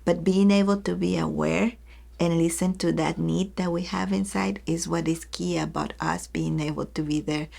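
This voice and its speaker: Woman educator, lowish gravelly voice, earnest teaching talk that speaks to listeners directly. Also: fairly even intonation, normal speed, a little mellow. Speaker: early childhood educator.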